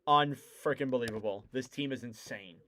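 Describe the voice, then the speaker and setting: A young man doing live play-by-play for a hockey stream, excitable and rising to shouts on big plays, his voice wearing out. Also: medium pitch, moderate pace. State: losing his voice. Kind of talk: play-by-play commentary.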